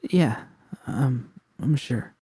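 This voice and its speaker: A man reading aloud, voicing a distraught, guilt-ridden young woman in high, strained, pleading tones. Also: very slow, mellow, slightly rough, no echo, full character voice. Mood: crushed.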